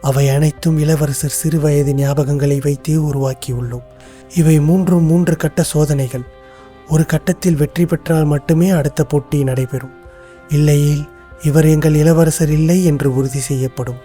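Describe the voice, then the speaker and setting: An actor voicing a wise, cautious royal minister in a cartoon, speaking formally and in a measured way. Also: male voice, a little high, steady tone, dark tone, soft background music, natural voice. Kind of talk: cartoon voice acting.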